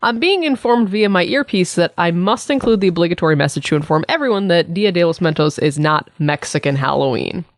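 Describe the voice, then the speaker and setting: A woman's voice: fairly low pitch, expressive, fairly quick, hardly any pauses, dry recording, clean studio sound. A woman co-hosting a comedy podcast, explaining and bantering in a wry, irreverent way. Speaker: podcast co-host.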